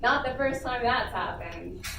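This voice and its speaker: A woman's voice: a little high, unhurried, gravelly. A woman playfully reading her own humorous story aloud in a casual, amused manner. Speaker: panelist and writer. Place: convention panel room before a small audience. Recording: echoey room, distant mic, noisy.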